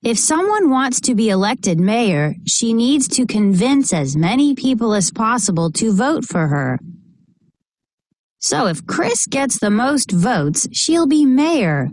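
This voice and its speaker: A woman reads a children's book aloud at a slow, careful pace. Her voice sits fairly high and moves in animated rises and falls, in a close, dry recording. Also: no room sound. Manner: expressive, deliberate delivery for children. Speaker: storybook reader.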